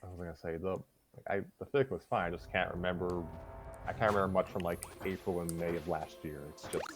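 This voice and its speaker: A man's voice: sits low, steady tone, fast, noisy background. A man from the United States hosting a casual discussion. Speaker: host of a fan fiction reading show. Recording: clear music behind the voice. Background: music.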